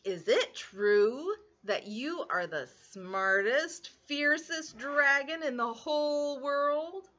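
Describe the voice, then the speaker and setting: A woman voicing a clever, spirited young princess in a storybook, eager and flattering. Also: high voice, takes it slowly, few pauses, dull audio, exaggerated voice. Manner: eager, flattering, wide-eyed.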